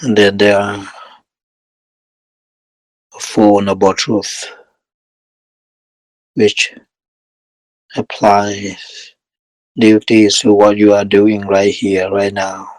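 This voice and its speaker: Slow, low-pitched man guiding meditation. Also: no echo, low-quality recording. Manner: calm, measured, with long pauses between short phrases. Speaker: meditation teacher leading a guided session. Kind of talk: guided meditation instruction.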